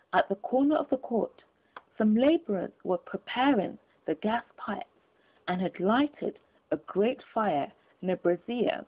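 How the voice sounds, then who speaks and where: A woman reading a classic storybook aloud as scripted narration. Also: medium pitch. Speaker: audiobook narrator. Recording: muffled phone-like narrow sound.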